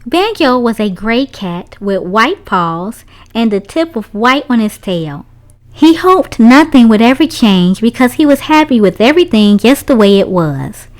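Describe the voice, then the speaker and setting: A woman's voice: fairly high pitch, sing-song, a little slow, a little mellow. A woman reading a children's story aloud, narrating expressively for young listeners.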